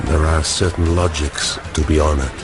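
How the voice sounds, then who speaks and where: An actor playing a calculating artificial intelligence in a barman's guise, measured and formal, in a radio drama. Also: a bass voice, fairly even intonation. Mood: knowing, measured. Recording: music behind the voice.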